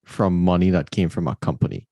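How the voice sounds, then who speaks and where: Man, interview host, very deep voice, storytelling, restarts words, dry sound. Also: fairly quick, warm tone, slightly rough, no room sound. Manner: thoughtful, repeats words when starting sentences, storytelling. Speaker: podcast host. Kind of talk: casual interview conversation.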